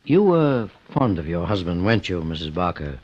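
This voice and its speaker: An actor playing a composed private investigator in an old radio mystery, courteous, measured and probing. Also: male voice, low-pitched, very animated, a little mellow. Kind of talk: old-time radio drama acting. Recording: dull, lo-fi old radio recording.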